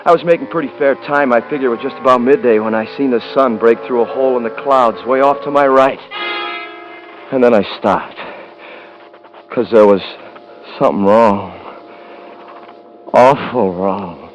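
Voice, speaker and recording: A man's voice: mid-range voice, moderate pace, long pauses, soft background music, natural voice, poor audio. An actor playing a rugged, haunted hunter, narrating his ordeal in a folksy, tense manner in a 1950s radio drama. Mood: weary, wary. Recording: vintage 1950s radio recording.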